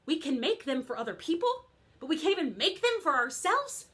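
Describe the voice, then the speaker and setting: A high, bright-voiced fairy godmother who gossips and grumbles about going unthanked, as a woman acts her out. Her pitch leaps around as she complains. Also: very animated, fairly quick. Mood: aggrieved. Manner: chatty, complaining, indignant. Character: grumbling middle-aged female fairy godmother, put-upon. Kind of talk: comic monologue.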